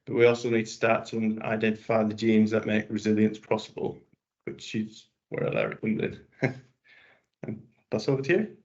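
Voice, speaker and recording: A man presenting as a vegetable breeder in a webinar, speaking in a halting way with restarts. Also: fairly low pitch, steady tone, a little mellow. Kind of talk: explanatory presentation. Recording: dull lo-fi webinar audio.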